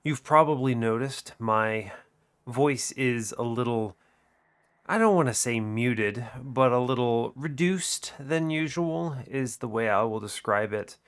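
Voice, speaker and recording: A man's voice: mid-range voice, unhurried, fairly bright tone, clean tone. A young man hosting a gaming channel, talking through a card game while sick, his voice muted and a bit nasal, rambling and correcting himself as he goes. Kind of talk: game commentary. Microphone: desk microphone.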